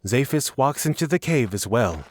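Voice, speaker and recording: A man's voice: mid-range voice, lively intonation, normal speed, no echo. A man reading scripted narration for a fantasy audio drama.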